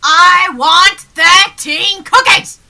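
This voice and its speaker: A teenage actress playing a poor, stubborn old woman in a high school radio play, declaiming insistently and theatrically. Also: very slow, sharp tone, full character voice. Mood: indignant.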